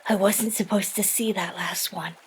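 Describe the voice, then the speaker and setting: A woman's voice: medium pitch, steady tone, normal speed, a little hiss or hum, lightly acted. A woman playing a worried, protective and earnest adventurer in an audio drama. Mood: hushed concern.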